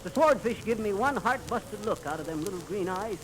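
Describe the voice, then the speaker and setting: A man's voice: sits high, lively intonation, a little fast. An American man playing a boastful, folksy teller of tall fishing tales in 1930s radio comedy style, with theatrical, colloquial delivery. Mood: mock heroic. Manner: bragging, theatrical storytelling. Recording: noisy vintage 1930s radio recording.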